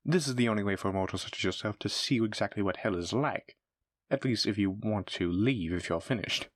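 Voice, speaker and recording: A man's voice: lowish voice, fairly quick, no room sound, studio quality, close to an everyday voice. A man playing a polite, eager demon front desk clerk in a scripted roleplay, with formal, effusive hospitality patter. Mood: cheerful, informative. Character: courteous, eager demon hotel receptionist, smooth salesman. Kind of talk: scripted roleplay audio addressed to the listener.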